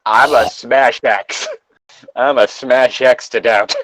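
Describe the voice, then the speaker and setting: A man's voice: mid-range voice, fairly even intonation, takes it slowly, fairly bright tone, no room sound. A man reading fan fiction aloud with casual commentary and wry, joking asides, recorded close on slightly lo-fi audio. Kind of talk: casual comedic commentary and read-along.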